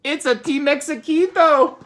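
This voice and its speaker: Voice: sits high, slow, a bit bright, pitched above the performer's own voice. A storybook reader voicing a bold, boastful little male Chihuahua hero, theatrically, with Spanish-flavoured mock words. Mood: urgent.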